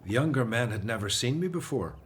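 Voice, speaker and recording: A man's voice: mid-range voice, expressive, fairly quick, close to an everyday voice. Male actor as older returning exile, humble and earnest, radio drama. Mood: thoughtful.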